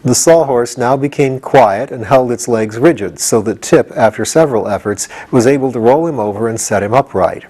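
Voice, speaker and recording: Man, audiobook narrator, average pitch, scripted storybook reading. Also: moderate pace, few pauses, dark tone. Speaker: audiobook reader.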